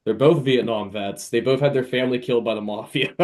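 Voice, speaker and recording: A man's voice: medium pitch, brisk, darkish tone, slight background noise. A man, an author appearing as a podcast guest, chatting casually and enthusiastically like a fan. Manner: enthusiastic, conversational fan talk. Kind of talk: casual interview chat.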